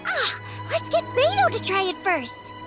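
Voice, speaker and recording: A woman's voice: extremely high pitch, huge pitch swings, measured pace, heavily acted. A woman playing an eager, bubbly teenage girl chef in a video game, with a perky, earnest manner. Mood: upbeat. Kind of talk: video game cutscene acting. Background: playful music.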